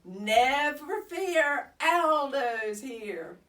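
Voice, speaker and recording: A woman's voice: sits high, lively intonation, very slow, a bit bright, recorded from a distance, pitched above the performer's own voice, full character voice. A woman voicing a cheerful, friendly male school custodian in a storybook, hearty and chummy. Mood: roaring, triumphant.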